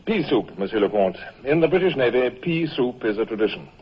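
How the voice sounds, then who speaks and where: An actor playing a grave, eloquent British naval commodore in a formal, oratorical old-time radio drama style. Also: male voice, mid-range voice, very animated, fairly quick, breathy edge, lo-fi sound. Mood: hospitable, courteous.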